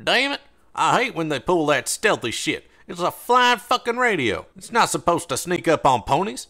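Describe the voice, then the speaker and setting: A man voicing a brash, foul-mouthed male unicorn slaver in an audiobook, excitable and crude, with music behind him. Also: fairly high pitch, normal speed, pitched above the performer's own voice, heavily acted. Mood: angry, irritated. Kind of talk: audiobook character voice.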